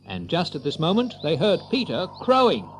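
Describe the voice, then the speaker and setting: A man narrating a children's story with an expressive, theatrical storyteller's delivery. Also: on the high side, normal speed, a little hiss or hum.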